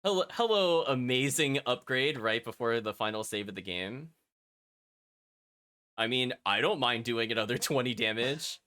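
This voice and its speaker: A man's voice: mid-range voice, expressive, moderate pace, pushed and bright, clean tone. A man live-streaming a video game, thinking aloud and chatting to his viewers as he plays. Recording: music playing behind the voice. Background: video game music.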